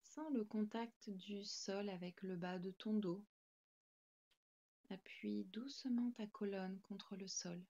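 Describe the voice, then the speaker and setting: A woman guiding a yoga nidra meditation in a calm, gentle, soothing manner with spaced instructions. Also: mid-range voice, takes it slowly, muffled recording.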